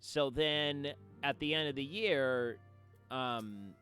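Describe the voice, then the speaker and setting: A man chatting casually on a game livestream at home, with playful, drawn-out phrasing. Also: medium pitch, a bit bright, clear, resonant tone. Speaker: game streamer. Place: indoors at home. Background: game music faintly behind.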